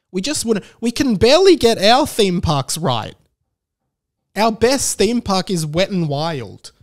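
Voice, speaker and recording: Higher-voiced Australian man ranting casually. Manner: animated, opinionated, emphatic, repeats points for effect. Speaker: podcast host. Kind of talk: casual solo podcast rant.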